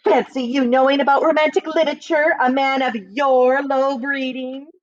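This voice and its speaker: A woman's voice: sits high, steady tone, moderate pace, no room sound, dull audio, voice pushed up, close to an everyday voice. A woman voicing a coy, snobbish prioress who speaks with mock-French affectation. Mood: sniffy.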